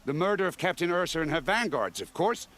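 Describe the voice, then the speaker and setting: An actor playing an officious, suspicious city gate guard in a video game. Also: male voice, a little high, big pitch swings, a little fast, fairly bright tone. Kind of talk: video game character dialogue.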